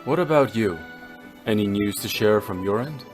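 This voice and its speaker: A man's voice: fairly low pitch, lively intonation, normal speed, faint music behind, close to an everyday voice. A man voicing a stoic, cold and brooding warrior in a video game, with solemn, formal, terse delivery. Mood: serious, guarded. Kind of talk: dramatic video game voice acting.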